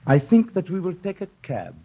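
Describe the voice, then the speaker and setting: A man's voice: high voice, very animated, brisk, background noise. A man playing a proud, intense anarchist poet, speaking with formal, declamatory self-importance in the manner of 1930s radio drama. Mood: smug, suave. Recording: muffled, worn 1930s radio recording.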